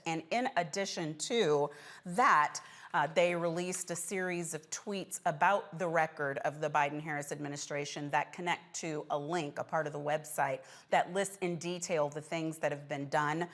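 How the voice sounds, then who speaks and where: A woman's voice: low-pitched, normal speed, fairly bright tone. An American woman hosting a political panel discussion, speaking in an insistent, pointed way. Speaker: news commentary show host.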